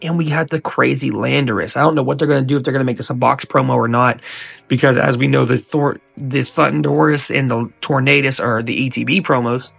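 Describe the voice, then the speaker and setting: Young guy w a cold, kinda raspy n fry-ish, super hyped casual host, soft music under it. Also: mid-range voice, steady tone, a little fast. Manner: enthusiastic, repeats hype words. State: sick, coughing and sniffling. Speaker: YouTube host.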